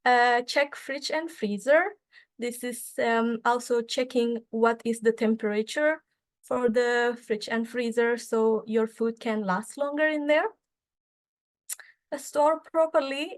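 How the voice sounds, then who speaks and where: Woman presenting with hesitant fillers. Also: on the high side. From Indonesia. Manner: halting, many fillers and restarts. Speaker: student association speaker. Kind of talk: conference presentation.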